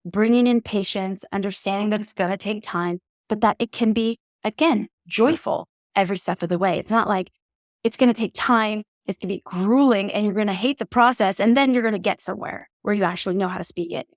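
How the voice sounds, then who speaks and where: A woman's voice: mid-range voice, fairly quick, no room sound. Earnest woman podcast host, dry close sound. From native English speaker. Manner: earnest, encouraging, steady. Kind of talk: reflective teaching monologue.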